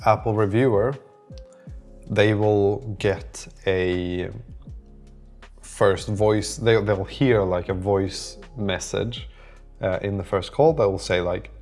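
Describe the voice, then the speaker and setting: Low-voiced man thinking aloud over faint music. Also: a little mellow. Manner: hesitant, rambling, thinks aloud. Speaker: app developer, vlog host. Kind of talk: casual vlog, thinking aloud.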